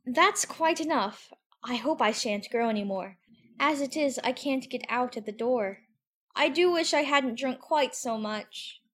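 A woman's voice: high-pitched, measured pace, a bit bright. A woman voicing a curious, chatty young girl, musing primly to herself in an audiobook. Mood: worried. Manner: musing, prim, talking to herself. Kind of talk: audiobook character acting.

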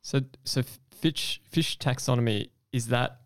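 A man co-hosts a podcast interview with a voice of middling pitch. He asks curious follow-up questions, restarting a few times before he gets them out. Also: measured pace. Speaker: podcast co-host.

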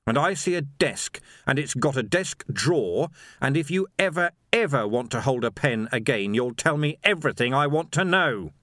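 Audiobook lead, male reader acting: tough sardonic male sergeant, lively intonation, menacing, deliberate, mock-polite. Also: mid-range voice, dry recording, studio quality, voice pushed up, close to an everyday voice. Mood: cold fury. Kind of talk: audiobook character voice.

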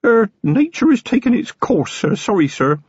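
A man's voice: high voice, very animated, moderate pace, darkish tone, husky, dry recording, lo-fi sound, voice pushed up, exaggerated voice. A man voicing a nervous young male rookie policeman in a small, quavering voice, stammering and deferential in an audiobook story.

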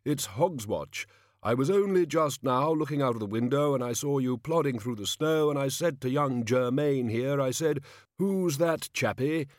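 Man putting on a hearty, overbearing king, pitched up. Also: moderate pace, no echo, clean studio sound, natural voice. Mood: hearty, bluff. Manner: booming, jovial, pompous upper-class bluster. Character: hearty, overbearing king, forcing his charity.

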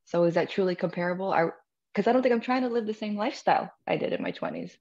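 A woman's voice: mid-range voice, huge pitch swings, fast, gravelly, a little mellow. Wry woman over dull lo-fi audio. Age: 30s. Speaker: guest. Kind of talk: casual group chat.

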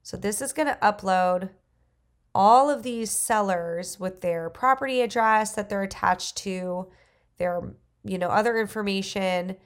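A woman with a stuffy nose from the flu, casually talking through a software demo and thinking aloud as she clicks. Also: mid-range voice, unhurried. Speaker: real estate investor. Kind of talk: screen-recorded software walkthrough.

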